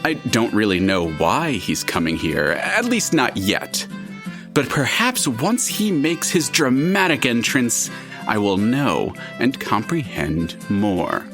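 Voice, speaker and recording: A man playing a chatty, curious, all-knowing narrator in an audio drama, with a dramatic and deliberate storytelling manner. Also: on the low side, sing-song, over music, exaggerated voice. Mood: theatrical, wondering. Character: chatty omniscient male narrator, theatrical and curious.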